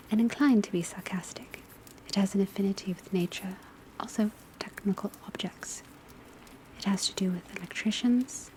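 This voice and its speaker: A woman giving a soft, breathy, mostly whispered ASMR classroom lecture in a measured, deliberate, instructive way. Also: mid-range voice. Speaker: ASMR performer playing an astrology class teacher. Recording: steady ambient noise of rain and fireplace. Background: crackling fireplace, rain on rooftop, pencil writing.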